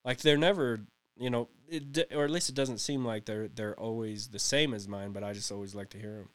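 A man's voice: on the low side, fairly quick, clear, resonant tone. A man in his late thirties co-hosting a casual podcast, rambling and laughing often as he chats. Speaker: podcast co-host. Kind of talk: casual chat.

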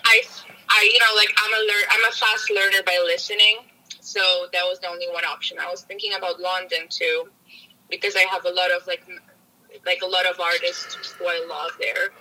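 A woman's voice: fairly low pitch, level tone, brisk, bright, forceful tone, low-quality recording. A woman from Poland with a Polish accent, a music producer guest in a casual interview, earnest and often searching for words.

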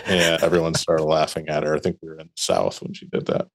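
Low-voiced Midwestern man explaining. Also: flat delivery, creaky. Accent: Midwestern American. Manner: measured, explanatory. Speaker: podcast co-host, lawyer. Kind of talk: casual legal podcast chat.

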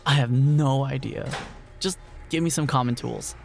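A man's voice: medium pitch, moderate pace, creaky, faint music behind, natural voice. A man playing a naive, clueless and polite brother in an audio drama, earnest, hesitant and easily flustered. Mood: unsure. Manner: hesitant, earnest, literal-minded.

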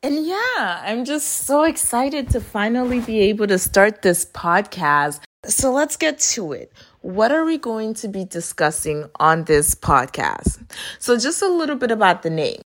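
A woman's voice: mid-range voice, big pitch swings, unhurried, fairly bright tone, a little hiss or hum. A young woman from Haiti hosting her own podcast, chatting casually in a self-deprecating way. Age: young adult. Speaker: podcast host. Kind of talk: casual solo podcast monologue.

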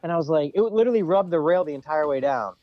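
A young man talks casually as a podcast guest, rambling through his stories with plenty of "dude" and "you know". His voice sits a little high, and the sound is dry and slightly muffled. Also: expressive, rapid-fire, dry recording. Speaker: ATV racer guest.

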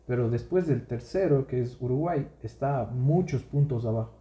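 A man's voice: mid-range voice, moderate pace, echoey room. A man from Ecuador co-hosting a podcast, chatting casually and leading the talk with questions. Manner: relaxed, asks questions and leads the talk. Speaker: podcast co-host. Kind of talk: casual chat.

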